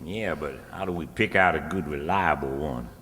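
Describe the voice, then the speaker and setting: An actor playing an earnest, gullible small-town rustic schemer, folksy and homespun, in old-time radio comedy. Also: male voice, very deep voice, moderate pace, bright, forceful tone. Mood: curious. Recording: echoey hall with long reverb.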